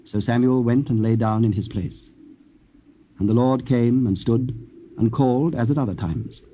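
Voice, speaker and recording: A man's voice: mid-range voice, normal speed. A man narrating scripture in a solemn, scripted style. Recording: dull, faintly noisy old cassette.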